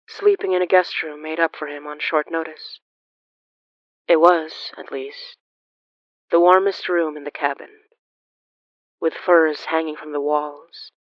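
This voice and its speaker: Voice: mid-range voice, no room sound. Dramatic horror story narrator, slightly muffled. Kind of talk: scripted horror fiction narration.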